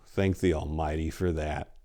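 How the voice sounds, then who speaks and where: A man in his forties reading a story aloud in a theatrical, deliberate, scripted narrating style. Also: very deep voice, fairly even intonation, mellow. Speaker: host, author reading own short story. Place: indoors, book-lined room. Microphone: lapel mic.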